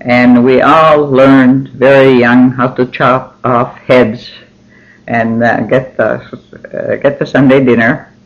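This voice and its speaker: An older man whose first language is Finnish, recalling his childhood slowly in an oral history interview, with many pauses and restarts. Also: mid-range voice, flat delivery. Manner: slow, halting, many ums and restarts. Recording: dull lo-fi recording.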